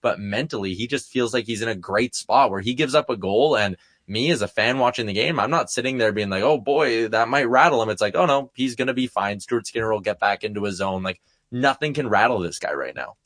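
Low-voiced man, enthusiastic hockey analyst. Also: lively intonation, a little fast, a bit bright, no echo, studio quality. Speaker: sports show co-host and analyst. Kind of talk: casual hockey analysis chat.

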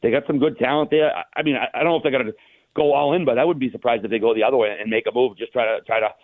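A man's voice: medium pitch, fairly even intonation, rapid-fire, no room sound. A man hosting casual sports talk about hockey, rambling informally, heard over a tinny, narrow phone-like line.

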